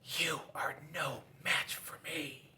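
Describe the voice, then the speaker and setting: A man voicing a storybook scissors warrior, a formal challenger speaking in a breathy, menacing hush. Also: on the high side, fairly even intonation, takes it slowly, heavily acted. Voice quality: breathy stage whisper.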